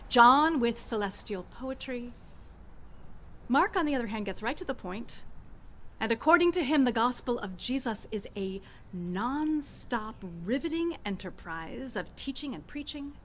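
A middle-aged woman pastor preaching a sermon in an outdoor church garden, speaking into a stand mic at a lectern with expressive pauses. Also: on the high side, slight background noise.

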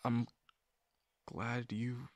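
A man's voice: mid-range voice, level tone, no echo. A man playing a shy, sincere young guy in love with his best friend, speaking hesitantly and intimately to the listener in an audio roleplay. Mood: awkward, flustered. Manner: hesitant, halting, full of pauses and sighs. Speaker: voice actor.

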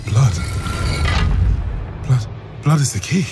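A man's voice: mid-range voice, animated, takes it slowly. A man playing a fearful, devout scholar in a video game cinematic, anxious and prone to stammering and prayerful pleading. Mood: panicked. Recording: loud dramatic music bed. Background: loud cinematic music.

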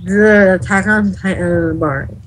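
A teenage girl chatting excitedly on voice chat while gaming, quick to squeal and giggle. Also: mid-range voice, rough recording. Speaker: gamer.

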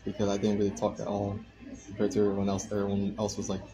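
A man in his twenties chatting casually on a live stream, soft-spoken and hesitant, with many fillers. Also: fairly low pitch, monotone, fast talker, over music, rough recording. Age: 20s. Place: indoor room by a window.

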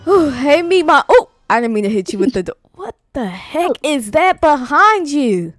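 Flustered, dramatic granddaughter for game roleplay, played by a woman: very high, animated, close-miked. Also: normal speed, dry recording, faint music behind. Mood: reluctant, pleading. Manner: slangy, dramatic, stammering repetition. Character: reluctant, flustered young granddaughter dreading grandma's visit. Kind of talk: improvised voiced game roleplay.

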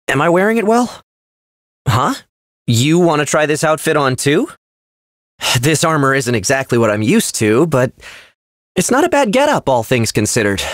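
An earnest young game hero, loyal and sincere, with a youthful voice that moves freely in pitch. The sound is close and dry, every line clearly spoken. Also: male voice, no echo. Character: earnest, loyal young swordsman prince in armor. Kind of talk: video game character voice lines.

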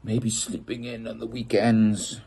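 A middle-aged man from the United Kingdom reading a children's picture book aloud, with a playful, expressive storytelling manner, filmed outdoors in a garden gazebo by a camera at desk distance. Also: on the low side, measured pace, creaky, husky.

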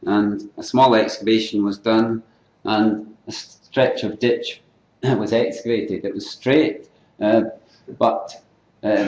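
An older Scottish man giving an unscripted academic lecture at a conference, often clearing his throat and restarting phrases. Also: on the low side, a little mellow. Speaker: keynote lecturer in archaeology. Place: lecture hall. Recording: echoey lecture hall.

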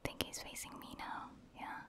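A woman whispering soft, unvoiced ASMR commentary as she plays a video game, casually and hesitantly thinking aloud. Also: moderate pace. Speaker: ASMR gamer, video host.

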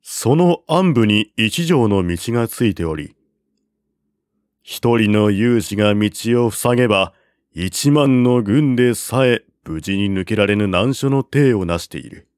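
A man reading a classical war novel aloud as narrator, in a slow, measured way at a close mic. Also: on the low side, animated, a little mellow, no room sound. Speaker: audiobook narrator.

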